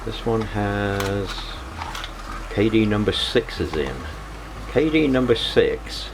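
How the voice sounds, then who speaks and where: Low-voiced man, halting, noisy recording. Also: lively intonation. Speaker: hobbyist vlog host. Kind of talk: casual unscripted show-and-tell narration.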